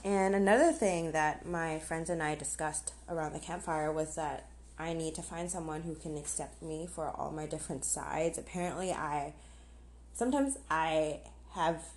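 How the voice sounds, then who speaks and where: A young woman hosts a casual solo podcast in a voice that sits a little low. She talks candidly and informally, as if chatting to friends. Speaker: podcast host. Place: outdoors on a patio.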